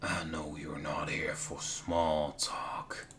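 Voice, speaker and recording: A man voicing a gruff, laconic, aloof male lover in a visual novel, slow and muttered. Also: extremely low pitch, flat delivery, fairly bright tone, a little hiss or hum, pitched below the performer's own voice, heavily acted. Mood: aloof, hushed.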